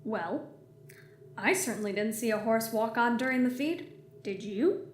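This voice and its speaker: Actress as brash female starship captain, high and animated, casual and sarcastic, sci-fi audio play. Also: a little slow. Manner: casual, sardonic, slangy. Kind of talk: science fiction audio drama acting. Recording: roomy echo, faint noise.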